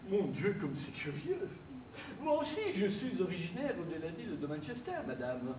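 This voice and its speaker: An actor playing an overly formal English gentleman, speaking with ceremonious, halting politeness on a theatre stage. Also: high voice, big pitch swings, a little hiss or hum. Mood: mannered politeness. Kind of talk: absurdist stage acting. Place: theatre stage, seated in armchair. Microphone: far room mic. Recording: echoey stage from far off.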